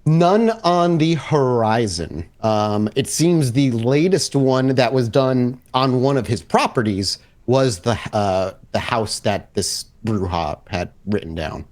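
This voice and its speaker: A man working as game master, improvising narration casually and haltingly as he thinks aloud. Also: medium pitch, a little mellow.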